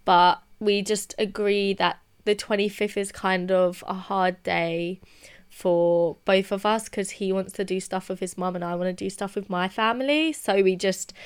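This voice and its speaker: A woman's voice: on the low side, clean tone. A young woman, a podcast co-host, chatting casually and telling a story with lots of "like" fillers. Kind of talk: casual chat.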